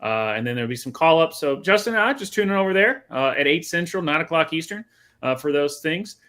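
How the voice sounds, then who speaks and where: A man co-hosting a casual sports podcast, joking around and acting out quotes in an animated way. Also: fairly high pitch, fast talker. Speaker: podcast co-host.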